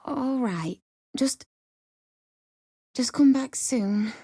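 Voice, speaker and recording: A woman's voice: sits high, drawn-out words, warm tone, slightly rough, no room sound, studio quality, heavily acted. An actress playing a desperate, bitter young widowed mother-to-be in a video game, with a British accent and an emotional, pleading delivery. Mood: subdued, resolute. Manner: emotional, tearful, pleading, prone to snapping. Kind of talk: video game character acting.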